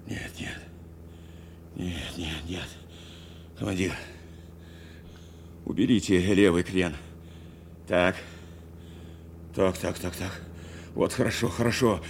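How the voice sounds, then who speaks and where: An actor playing a steadfast, protective bomber navigator in a war radio drama, speaking with earnest insistence. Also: male voice, deep voice, slow pace, pauses often, slight background noise. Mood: urgent, reassuring. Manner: insistent, repeating short phrases. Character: steadfast male bomber navigator, urging and protective of his commander. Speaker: actor, also narrating in the first person.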